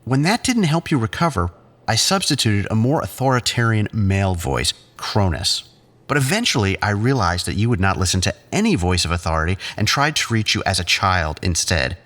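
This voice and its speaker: A man playing a controlling psychiatrist in an audio drama, speaking in a measured, clinical, patronising way. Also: lowish voice, very animated, fairly bright tone. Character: condescending, controlling male psychiatrist leading group therapy.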